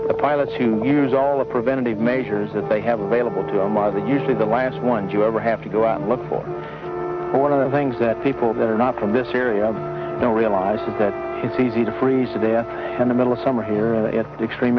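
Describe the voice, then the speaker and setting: A man explaining flight safety as an expert, speaking unscripted in a measured, matter-of-fact way. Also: fairly low pitch. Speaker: mountain flying safety expert. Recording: muffled 1970s film sound with music.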